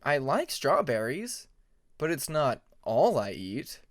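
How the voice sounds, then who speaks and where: Guy doing a young boy dragon for an audiobook, earnest n friendly, lively pitch. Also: takes it slowly. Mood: mild, casual.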